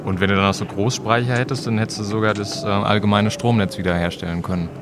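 A man's voice: fairly low pitch, steady tone, fast talker, background noise, close to an everyday voice. A man playing a curious, easily amazed time-traveling companion in an amateur audio drama, speaking casually and a little hesitantly. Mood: earnest. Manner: casual, questioning, slightly hesitant.

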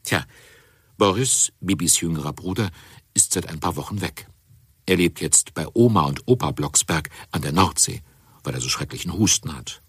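A man's voice: deep voice, expressive, moderate pace, fairly bright tone, husky, full character voice. A man playing a kindly, chatty storyteller who narrates a children's audio drama in a theatrical way. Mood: wistful. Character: kindly, concerned storyteller addressing young listeners directly.

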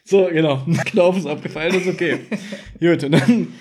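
A man chatting casually as a podcast host, retelling and commenting on an audio drama in an animated way, acting out quotes as he goes. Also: on the high side, moderate pace, noisy background.

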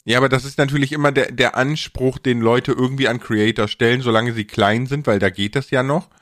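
A man's voice: mid-range voice, steady tone, a little fast, a bit bright, no echo. Colloquial man chatting, dry close sound, sibilant s. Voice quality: slightly stressed sibilant s sounds. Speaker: podcast host and content creator. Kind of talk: casual podcast chat.

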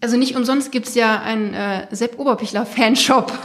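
A woman's voice: fairly high pitch, fairly even intonation, normal speed, fairly bright tone. A woman co-hosting a podcast in a casual, chatty style. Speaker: podcast co-host. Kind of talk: casual chat.

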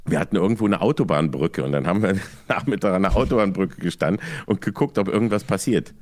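Low-voiced man rambling through an anecdote. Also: darkish tone. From Germany. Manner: halting, rambling, self-mocking, with chuckles. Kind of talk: casual anecdote telling in a podcast talk.

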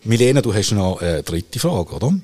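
A man's voice: on the low side, animated, moderate pace, a little mellow. A man with a Swiss German accent, hosting a podcast and moderating the conversation casually. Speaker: podcast host.

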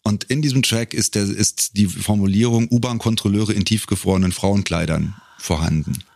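A middle-aged man telling stories as an interview guest in a casual, wryly humorous way, often pausing to find his words. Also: lowish voice, steady tone, creaky, a little mellow, no echo, studio quality. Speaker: podcast guest, a cappella singer and arranger.

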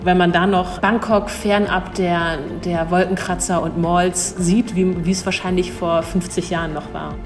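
A woman's voice: fairly low pitch, flat delivery, normal speed, hardly any pauses, music in the background. Thoughtful young woman, restarts often. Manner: thoughtful, hesitant with restarts, laughs easily. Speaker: cultural attaché at an embassy. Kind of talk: interview answers.

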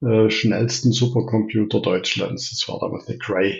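Swabian guy in his 60s, low gravelly voice, relaxed and hesitant, kinda muffled lo-fi audio. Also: normal speed. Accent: Swabian German. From Reutlingen, southern Germany. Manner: relaxed, with frequent hesitations and restarts. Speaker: interview guest, interim executive. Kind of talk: conversational interview answers.

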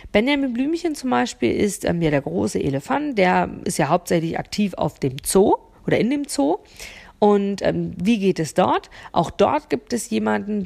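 A woman's voice: medium pitch, creaky, darkish tone. A woman talking unscripted to the listener as a solo podcast host, often searching for words and repeating them for emphasis.

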